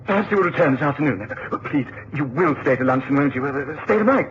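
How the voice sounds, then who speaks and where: A man's voice: on the high side, lively intonation, fast, lightly acted. Male actor as frightened English aristocrat, hesitant stammer, anxious pleading, vintage radio drama, dull and noisy recording. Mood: anxious, evasive. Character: nervous, fearful English lord, desperate for company. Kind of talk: 1960s radio drama acting.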